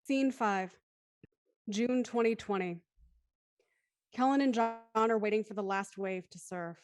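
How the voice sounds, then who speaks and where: A woman's voice: mid-range voice, a little slow, darkish tone, no room sound, clean studio sound, natural voice. A woman narrating the scene directions of a scripted drama reading.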